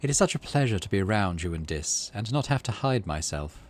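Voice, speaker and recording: A man voicing a polite, mirthful male alien from a game, speaking in formal, measured phrases. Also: lowish voice, sing-song. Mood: earnest, discreet.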